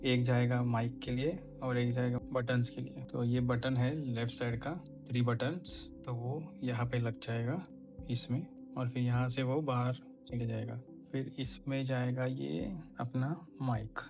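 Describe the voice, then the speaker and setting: A man's voice: medium pitch, little pitch movement, measured pace, music in the background. A man vlogger casually explaining a hands-on installation step by step, giving practical tips as he works. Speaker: motorcycle vlogger.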